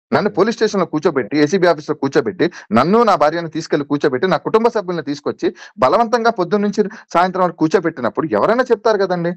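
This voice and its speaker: Man, very fast delivery, insistent, repetitive, protesting, dull lo-fi call recording. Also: high voice, no echo. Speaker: interviewee. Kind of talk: recorded call interview, defending himself.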